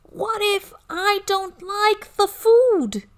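A woman's voice: very high voice, drawn-out words, voice pushed up, exaggerated voice. A woman voicing a reluctant, anxious little boy full of questions in a children's storybook. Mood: worried. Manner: worried, questioning. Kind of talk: children's storybook read-aloud.

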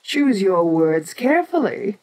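Woman doing a giant kaiju lady for audio roleplay, bossy and haughty but curious, really slow deliberate talking. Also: mid-range voice, big pitch swings, a little mellow. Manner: haughty, deliberate, speaking down to a lesser creature. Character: ancient giant female kaiju monster, imperious, domineering, curious.

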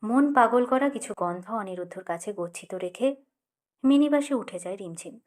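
A woman's voice: mid-range voice, lively intonation, moderate pace, a little mellow. A woman narrating a literary short story expressively as an audiobook reader.

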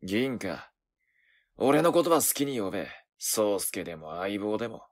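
An actor playing a gruff, hot-tempered young male werewolf in a voice drama, with rough, cocky slangy speech. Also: on the low side, very animated, a little slow. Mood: gruff, suspicious. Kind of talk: Japanese voice drama acting.

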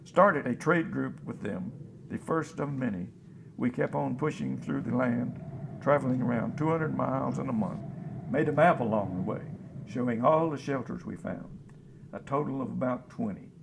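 An actor playing a folksy, plain-spoken, hopeful scavenger in a radio drama about survivors of a disaster. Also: male voice, medium pitch, moderate pace, creaky, background noise, close to an everyday voice. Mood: hopeful, earnest. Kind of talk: radio drama, interview monologue.

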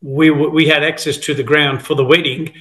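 A man's voice: on the high side, little pitch movement, brisk, fairly bright tone. A man in his fifties, born in Italy, telling stories about his football past on a video call, rambling with many fillers and restarts. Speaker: guest, former footballer. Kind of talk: casual interview over video call. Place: home room, indoors. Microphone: video call, laptop camera.

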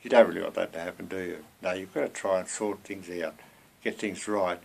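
An elderly man in his seventies with a fairly low voice speaks as a lay preacher. His delivery is earnest and conversational, like an informal sermon given from a small room at home, with rhetorical questions put to the listener. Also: fairly quick, vocal fry, a little mellow, husky. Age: 70s.

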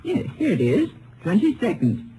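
An actress playing a chatty, sure-of-herself feed store clerk with a rural American accent in an old radio western. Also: female voice, mid-range voice, huge pitch swings, moderate pace, noisy background. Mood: helpful, certain. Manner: folksy, emphatic. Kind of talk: 1950s radio western acting.

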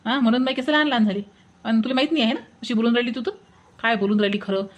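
A woman's voice: on the high side, rapid-fire, lightly acted. A woman voicing a blunt, indignant village neighbour in a comic cartoon, scolding in a Varhadi Marathi accent. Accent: Varhadi Marathi from Vidarbha.

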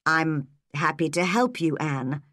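A woman's voice: low voice, animated, slow, fairly bright tone, no room sound, clean studio sound, heavily acted. A woman reading a kind young man. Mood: friendly.

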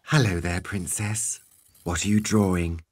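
A man voicing a kindly, mild-mannered grown-up in a cartoon palace, gentle and encouraging. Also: sits low, steady tone, a little slow.

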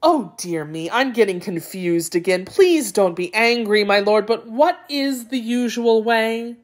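A woman's voice: a little high, animated, unhurried, pitched above the performer's own voice. A woman voicing a sly storybook jackal who politely dithers and feigns bewilderment. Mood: apologetic, confused. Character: sly jackal feigning befuddled, fretful confusion. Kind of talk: storybook character voice.

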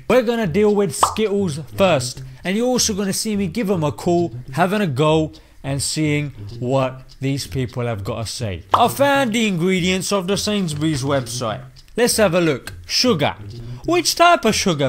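A young British man presenting scripted comic commentary to camera in a slangy, exaggerated, mock-indignant manner. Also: high-pitched. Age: young adult. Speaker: comedy presenter. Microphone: desk microphone on boom arm.

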